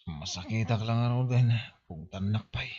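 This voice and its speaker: A man's voice: fairly low pitch, normal speed, a little mellow, husky. A man with a Filipino accent acting a hard-drinking, evasive son and husband in an audio drama. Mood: pleading. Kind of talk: amateur Ilocano radio-style drama acting. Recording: dull lo-fi audio.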